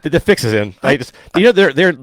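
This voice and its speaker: A middle-aged or older man from western Pennsylvania with an American accent, co-hosting in casual, wisecracking radio banter with halting restarts. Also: fairly high pitch, big pitch swings, dry recording. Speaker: radio show co-host. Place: close-miked radio studio.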